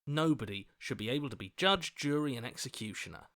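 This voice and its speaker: An earnest video game hero, acted by a man, with a mid-pitched voice that rises and falls freely. He sounds anxious and questioning, like a conscience that keeps pleading to be heard. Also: animated, a little fast, a bit bright, lightly acted. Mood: indignant, pleading. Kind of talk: video game character voice acting.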